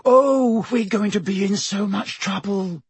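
A man's voice: very high voice, animated, moderate pace, a little mellow, slightly rough, voice pushed up, full character voice. A man reading an audiobook, voicing a frightened, anxious teenage schoolgirl who whimpers.

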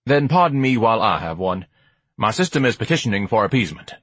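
A man's voice: on the low side, very animated, fast, fairly bright tone, breathy edge, no echo, exaggerated voice. A man voicing a pompous, indignant, gluttonous educated man with grandiose, haughty diction in an audiobook. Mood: smug. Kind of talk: audiobook character acting.

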